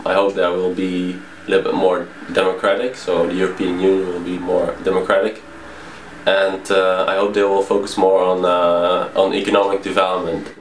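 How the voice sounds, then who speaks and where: A man, answering interview questions with many pauses. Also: low-pitched. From Tilburg, Netherlands. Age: 20s. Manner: hesitant, full of pauses. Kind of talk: casual street interview answers. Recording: echoey, noisy street spot.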